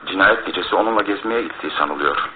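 A fairly low male voice of an actor gives a police investigator's sober, businesslike reports. It comes from a radio drama with a thin, narrow old recording. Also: quick pace, slight background noise, lightly acted. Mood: matter-of-fact. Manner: matter-of-fact, reporting.

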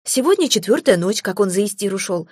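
A woman reading an audiobook, voicing a fierce, defiant young red-haired woman who shouts in a husky voice. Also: medium pitch, big pitch swings, a little fast, no room sound, studio quality. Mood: triumphant.

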